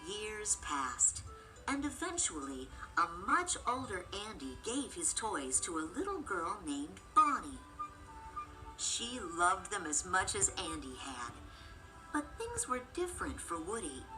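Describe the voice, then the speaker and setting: A woman narrating a children's story as an expressive scripted read-aloud. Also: mid-range voice, measured pace, music in the background, low-quality recording.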